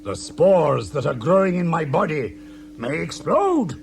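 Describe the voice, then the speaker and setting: Gravelly, tyrannical starship captain. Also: male voice, on the high side, very animated, exaggerated voice. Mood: self-pitying, commanding. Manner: imperious, slow, menacing. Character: pompous, self-pitying, tyrannical male starship captain. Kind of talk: 1980s radio science-fiction acting. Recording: noisy 1980s radio drama recording.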